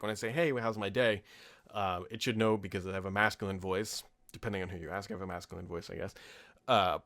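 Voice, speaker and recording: A man's voice: on the low side, fairly bright tone. An American man in his thirties hosting a tech podcast, talking off the cuff in an informal, opinionated, rambling way. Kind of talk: casual off-the-cuff commentary answering listener questions.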